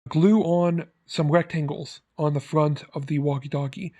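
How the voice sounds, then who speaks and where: Male tutorial host, fairly high voice, very even intonation, plain scripted step-by-step instruction. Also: moderate pace. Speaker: host of prop-making tutorial. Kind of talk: scripted how-to narration.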